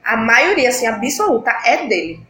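Young woman, podcast co-host with a cold; slightly high voice in a roomy room with faint hiss. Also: sing-song, moderate pace, bright, forceful tone. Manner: chatty, leads the segment, explains at length. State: stuffy with a cold. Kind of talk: casual podcast chat.

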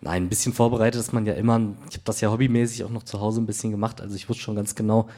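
A man, hoarse from a cold, answering questions as a guest DJ in a casual chat. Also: lowish voice, little pitch movement, rapid-fire, dark tone.

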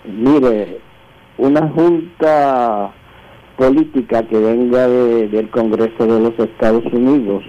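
A man's voice: medium pitch, fairly even intonation, slow pace, warm tone. A man putting a question to a radio panel over dull, lo-fi call audio. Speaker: radio show caller.

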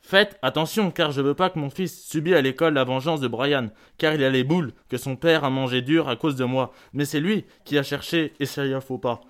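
A man's voice: medium pitch, moderate pace, close to an everyday voice. A young male amateur actor playing a combative, protective father in a theatrical letter reading. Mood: agitated, blunt. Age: teenager or young adult student.

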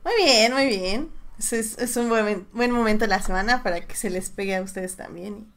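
A woman's voice: medium pitch, normal speed. A woman hosting a casual panel chat, talking expressively and often repeating her phrases. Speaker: podcast host moderating the panel.

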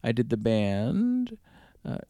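A middle-aged man hosting a music radio show, back-announcing tracks in a relaxed, rambling way and spelling out band names. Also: medium pitch, slow pace, dry recording, clean studio sound.

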